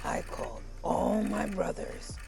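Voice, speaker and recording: A storybook reader narrating for children in a theatrical, deliberate way with drawn-out phrasing. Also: mid-range voice, steady tone, vocal fry, over music, poor audio. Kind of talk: dramatic children's read-aloud narration.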